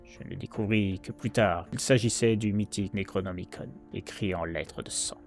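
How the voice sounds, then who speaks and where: Low-voiced haunted male heir, acted by a man, solemn theatrical horror poetry, measured delivery over prominent music. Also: natural voice. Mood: brooding dread. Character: anguished, guilt-ridden male heir turned vengeful horror narrator. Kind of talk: dramatic horror poem narration.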